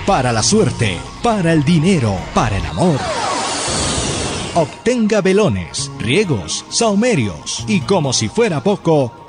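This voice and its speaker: Slow, lively man reading radio ads. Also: fairly high pitch. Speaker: ad announcer. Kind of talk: radio commercial voice-over.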